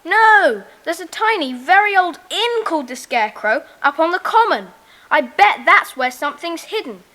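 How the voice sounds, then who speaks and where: Very high, bright, bossy boy played by a woman. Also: animated, exaggerated voice. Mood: decisive. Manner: brisk, decisive. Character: bossy, confident schoolboy leader of a children's club. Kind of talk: audiobook dramatisation acting.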